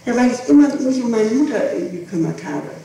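A woman gives hesitant, evasive testimony, doubling back on her phrases. Her voice is soft-edged and mellow. It comes from a distance on an archival tape, in a very reverberant room with faint noise. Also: a little high, very animated, a little fast, gravelly. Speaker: defendant being questioned. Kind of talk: courtroom testimony. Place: large echoing room, distant mic. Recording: echoey, noisy archival tape from far off.

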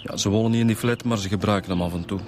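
An actor playing a chatty, wry police inspector with a Flemish accent in a radio drama. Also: male voice, lowish voice, fairly even intonation, rapid-fire, background noise, lightly acted. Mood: informative, chatty. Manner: chatty, colloquial. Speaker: detective. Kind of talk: naturalistic radio drama acting.

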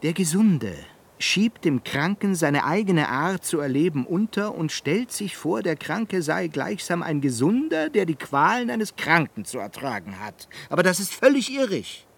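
An actor in a German radio play playing a fiery, eloquent Italian humanist pedagogue who declaims with indignant rhetoric. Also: male voice, a little high, creaky, exaggerated voice.

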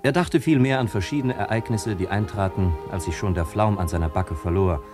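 An actor as the sober narrator of a family chronicle, reading literary radio drama narration in a measured way. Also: male voice, low voice, natural voice. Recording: music behind the narration. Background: music.

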